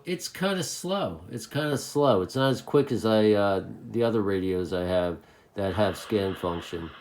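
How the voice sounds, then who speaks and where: A man's voice: fairly low pitch, a little hiss or hum. A man giving a casual hobbyist product review to camera, rambling along and often repeating his phrases.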